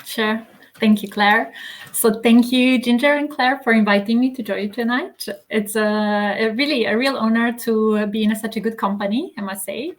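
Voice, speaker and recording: A woman from Serbia, speaking English as her second language with a Serbian accent, talking as an interview guest in a measured way with many filler pauses. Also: fairly high pitch. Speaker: guest, magazine editor. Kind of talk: casual interview chat.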